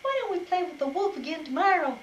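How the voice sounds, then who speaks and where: A woman voicing a vain, showy girl rabbit in a storybook, talking in a shrill, gushing, theatrical way. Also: lively intonation, fast talker, echoey room, muffled recording, slight background noise. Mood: excited.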